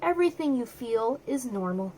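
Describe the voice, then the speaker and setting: A woman's voice: on the high side, lively intonation, moderate pace, mellow, a little hiss or hum, close to an everyday voice. A woman voicing a wise old female elephant from a children's storybook, speaking in a soothing, reassuring, explanatory way. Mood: gently explaining. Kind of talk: children's storybook read-aloud.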